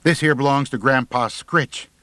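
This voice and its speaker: Man voicing a squeaky, snappish backwoods girl. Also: normal speed, bright, forceful tone, dry recording, natural voice. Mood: curt. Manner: snapping, curt, rustic grammar.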